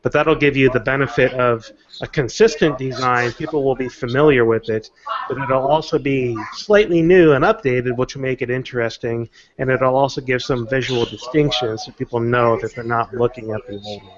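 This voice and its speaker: A man's voice: mid-range voice, normal speed, a crowd in the background. A man hosting a podcast, chatting casually with others over an online call. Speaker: podcast host. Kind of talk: casual panel chat. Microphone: microphone on a video call. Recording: slightly dull online call audio.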